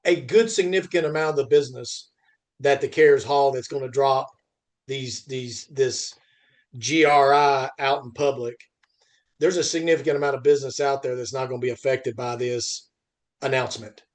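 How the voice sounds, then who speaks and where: A man's voice: mid-range voice, fairly even intonation. A man in an unscripted industry discussion, restarting phrases often and making points emphatically, posing questions and answering them himself. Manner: halting, repeats phrase starts. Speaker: podcast host and freight industry commentator.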